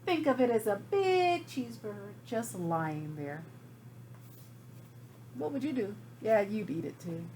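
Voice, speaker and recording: A woman's voice: mid-range voice, expressive, a little slow, pauses often, darkish tone, slight background noise, pitched below the performer's own voice, natural voice. A woman reading a storybook aloud as a folksy wolf, chatty and dramatic, excusing himself with feigned innocence. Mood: sly, matter-of-fact. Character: folksy, self-excusing wolf telling his side. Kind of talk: storybook read aloud to children.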